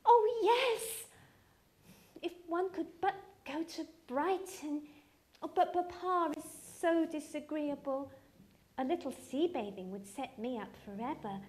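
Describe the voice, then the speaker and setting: A woman's voice: high-pitched, animated, slow pace, a little mellow, pitched above the performer's own voice. A woman voicing wailing, melodramatic, self-pitying young sisters in a theatrical storybook reading. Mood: excited pining. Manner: theatrical, lamenting.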